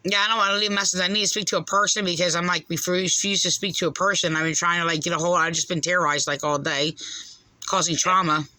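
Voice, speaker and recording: A woman's voice: lowish voice, fairly even intonation, fast talker, sharp tone, no room sound, poor audio. An agitated woman caller making a rambling, insistent, repetitive complaint over the phone.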